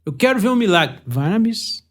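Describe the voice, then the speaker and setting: A man with a Brazilian Portuguese accent hosting a podcast, chatty and animated, laughing easily. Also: sits high, a little fast. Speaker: podcast host. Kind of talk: casual chat.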